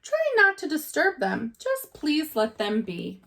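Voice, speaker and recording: A kindly storybook elephant, voiced by a woman speaks earnestly and protectively. His pleading is high-pitched and swings widely in tone. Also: wildly swooping pitch, a little slow, creaky, pushed and bright, full character voice. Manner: sincere, pleading.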